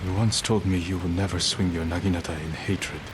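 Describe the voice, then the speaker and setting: An actor playing an honorable, protective samurai lord in a video game, with a measured and earnest delivery. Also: male voice, low-pitched, fairly even intonation, close to an everyday voice. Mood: firm, concerned. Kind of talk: dramatic video game cutscene acting. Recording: noisy outdoor ambience behind the voice.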